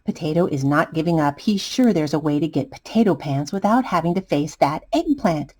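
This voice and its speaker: A woman reading a picture book to children as an expressive storytime narrator. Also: deep voice, brisk.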